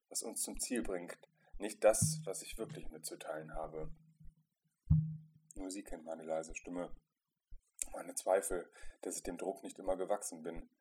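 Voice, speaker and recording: A man narrating a first-person literary story in an introspective, dramatic way, with emphatic swells and quieter drops. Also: low-pitched, a little hiss or hum. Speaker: narrator reading a short story.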